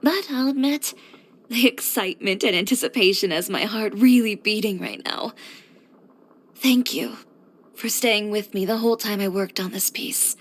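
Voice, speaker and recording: A woman's voice: mid-range voice, moderate pace, natural voice. A young woman voicing a confident, blunt fashion designer and boutique owner in a scripted video game, with a poised, direct delivery. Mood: focused, earnest. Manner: self-assured, direct, poised with dry sighs.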